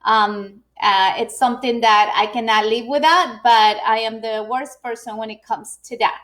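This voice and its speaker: Middle-aged woman, high voice, chatty live-stream speaker who restarts often. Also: fairly even intonation, normal speed, a bit bright. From Ecuador. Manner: chatty, frequent fillers and restarts. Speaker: business owner and speaker. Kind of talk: live video presentation.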